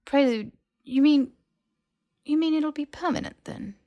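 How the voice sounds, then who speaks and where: A woman reads as a stubborn young male wizard in an audiobook. The pitch is lifted, and he sounds earnest and incredulous, pressing his questions insistently. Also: measured pace, warm tone, muffled recording. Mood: panicked.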